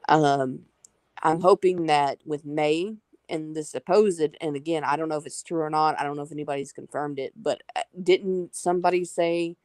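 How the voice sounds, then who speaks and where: Low-voiced southern lady rambling on a group call, earnest and sorry for interrupting, dry sound. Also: a little mellow, dry recording. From Southern United States. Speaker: call-in guest. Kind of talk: casual chat.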